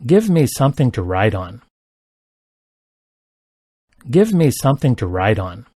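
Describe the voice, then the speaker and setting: A man reading simple English practice sentences aloud, each one twice, in a careful, even delivery. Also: mid-range voice, measured pace, no echo, studio quality. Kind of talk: slow repeated sentence reading for learners.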